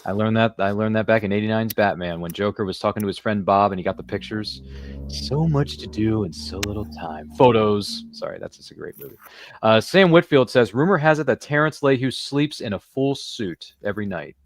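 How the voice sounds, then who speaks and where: A man's voice: lowish voice, lively intonation, a little fast, a little hiss or hum. A man hosting a podcast, chatting casually and jokingly, quoting pop culture and reading out viewer comments on a livestream. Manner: jokey, pop-culture quoting, repeats phrases. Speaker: podcast host.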